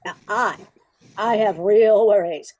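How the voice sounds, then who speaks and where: A woman's voice: medium pitch, slow pace, darkish tone. A woman playing a wistful, genteel old Christmas carol come to life, with theatrical delivery in a radio play. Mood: indignant. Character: wistful, prim old female Christmas carol sheet music.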